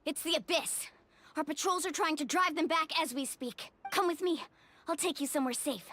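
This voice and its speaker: A woman's voice: sits high, moderate pace, sharp tone. A woman voicing a brave, spirited young warrior in a video game, upbeat, confident and encouraging. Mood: urgent.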